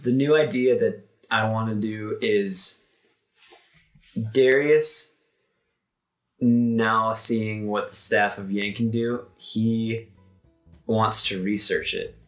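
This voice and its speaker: Halting man in an echoey room. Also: on the low side, steady tone, faint music behind. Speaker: player in a tabletop game podcast. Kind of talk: casual chat, explaining character ideas. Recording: roomy echo.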